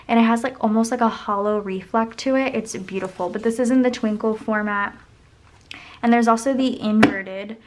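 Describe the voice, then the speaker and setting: A young woman with a voice going hoarse chats casually while she decorates her planner at a desk at home. She rambles and counts under her breath as she works. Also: on the high side. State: losing her voice. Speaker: planner vlogger. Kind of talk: casual plan-with-me chat. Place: home desk, camera overhead.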